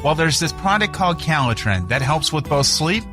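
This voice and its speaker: A man's voice: fairly high pitch, sing-song, moderate pace, over music. A man reading a scripted radio commercial as its announcer.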